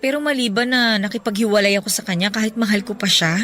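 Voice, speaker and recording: A woman's voice: medium pitch, fast talker, fairly bright tone, faint music behind, natural voice. A woman with a Filipino accent playing a stressed young breadwinner who confides her heartbreak plaintively in a Tagalog radio drama. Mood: wistful, burdened.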